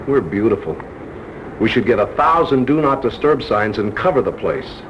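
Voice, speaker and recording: A man's voice: fairly low pitch, sing-song, normal speed. A man giving instruction in a blunt, wry way, with short punchy phrases. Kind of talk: instructional monologue. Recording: noisy 1970s training film soundtrack.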